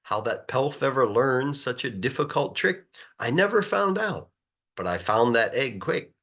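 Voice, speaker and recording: Man, children's storybook narration, very lively swooping pitch, sing-song rhyming delivery, drawn-out emphasis, slightly dull lo-fi recording. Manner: expressive, sing-song rhythm, stretching words for emphasis. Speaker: English teacher reading a children's story aloud.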